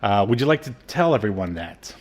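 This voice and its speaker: A man with a fairly low voice chats as a podcast co-host. He talks casually and likes to tease and joke with the person he is talking to. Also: animated, a little fast. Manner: joking, teasing. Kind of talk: casual chat.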